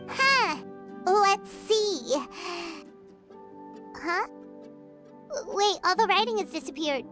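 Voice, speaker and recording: Tiny excitable game fairy: childlike, squeaky, very high-pitched, animated, music underneath. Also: female voice, slow, heavily acted. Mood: puzzled. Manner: excitable, refers to self in third person. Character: Paimon, tiny childlike female fairy companion, chatty and indignant. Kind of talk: video game voice acting.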